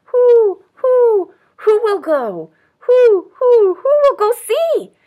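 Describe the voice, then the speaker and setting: Woman doing a high, hooting storybook owl. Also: very animated, narrow, tinny audio, exaggerated voice. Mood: questioning. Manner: drawn-out repeated hooting questions.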